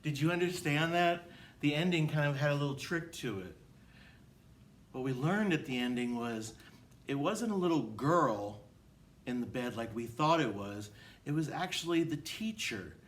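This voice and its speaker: A man's voice: fairly high pitch, expressive, normal speed, a bit bright. A man, a teacher, reading a picture book aloud and addressing children in simple words.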